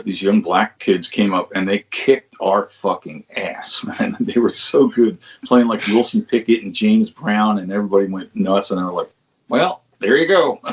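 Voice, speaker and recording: Low-voiced older New man reminiscing. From Long Island, New York. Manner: rambling, frequent restarts, swears casually, chuckles. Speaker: musician guest. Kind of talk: conversational interview storytelling.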